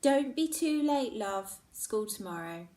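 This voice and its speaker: A woman's voice: a little high, animated, slow pace, darkish tone, recorded from a distance. A woman voicing a busy, caring mother who checks on her daughter. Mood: gently concerned. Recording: roomy echo, set back from mic.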